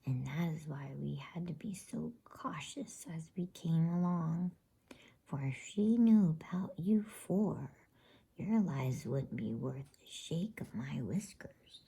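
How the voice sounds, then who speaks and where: A woman's voice: low voice, takes it slowly, gravelly, dark tone, breathy edge, exaggerated voice, low-quality recording. A woman voicing a grave, knowing male talking beaver from a storybook, whispering in an earnest, cautionary way. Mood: solemn, cautionary. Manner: earnest, cautionary, old-fashioned storybook speech.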